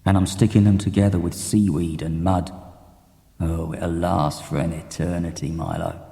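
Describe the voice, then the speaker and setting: A man playing a gentle, sorrowful father speaking earnestly and lovingly in a dramatic audiobook. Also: deep voice, fairly even intonation, vocal fry, voice pushed down. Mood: tender. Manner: earnest, slow and tender. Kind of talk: dramatic audiobook character reading. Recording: cassette audiobook with long reverb.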